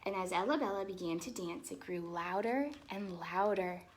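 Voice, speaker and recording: A woman reading a children's storybook in an expressive, animated way, with explanatory asides to young listeners. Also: on the low side, normal speed, slight background noise.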